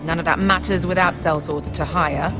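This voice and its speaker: A female sellsword voiced by an actress talks in a blunt, clipped, sceptical way in a fantasy game. She sounds gruff and wry yet loyal, and music plays clearly behind her. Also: sits low, fairly even intonation, moderate pace, lightly acted. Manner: sardonic, casual, clipped. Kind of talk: dramatic video game dialogue.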